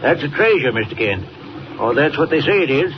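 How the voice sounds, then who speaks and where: Folksy old male ship's captain, high voice, rustic old-salt talk, radio drama, muffled noisy vintage recording. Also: big pitch swings, a little fast. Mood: folksy, wary. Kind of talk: 1940s radio acting.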